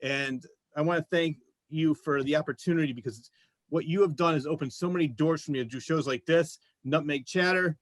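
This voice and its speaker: Guy cohosting a chatty interview, voice a bit high, gushing and appreciative, dry sound no room. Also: fairly quick, dry recording. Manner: effusive, appreciative. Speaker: show co-host and voice actor. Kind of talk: casual interview chat.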